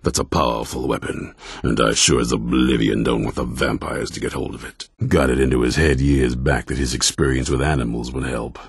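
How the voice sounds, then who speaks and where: An actor playing a grim, distrustful, battle-hardened vampire hunter commander in a video game, with a gruff, blunt, stern delivery and a gravelly, husky voice. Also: male voice, very deep voice, steady tone, fairly quick, few pauses, no echo, clean studio sound, heavily acted. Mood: grim, wary. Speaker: leader of an order of vampire hunters. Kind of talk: video game character dialogue.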